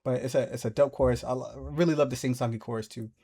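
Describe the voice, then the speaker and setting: A man talking casually as the host of a music reaction video, breaking down a song with animated asides. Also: fast talker. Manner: animated, jumps into high playful voices when excited.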